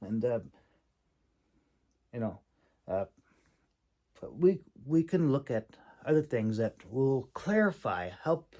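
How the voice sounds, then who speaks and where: A man giving an informal, rambling critique as a host, speaking haltingly with long pauses and repeated words. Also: mid-range voice, big pitch swings, mellow. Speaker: host, independent fantasy writer and audiobook reader giving critique.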